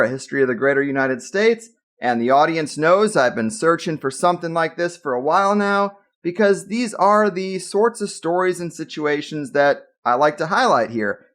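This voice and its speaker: A man's voice: fairly high pitch, a bit bright. A man hosting a podcast, reading an emphatic scripted intro and then chatting casually with his guest. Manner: emphatic, hype-style introductions. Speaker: podcast host.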